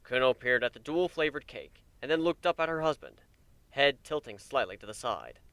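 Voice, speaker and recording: A man's voice: medium pitch, expressive, normal speed, pushed and bright, smooth, dry recording. A man narrating a story as a dramatic reading.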